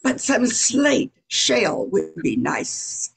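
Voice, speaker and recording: A woman's voice: on the high side, big pitch swings, slow, gravelly, breathy edge, full character voice, poor audio. A woman playing a huge, stolid alien police inspector with formal speech in an amateur radio play. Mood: businesslike. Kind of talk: amateur old-time radio play acting.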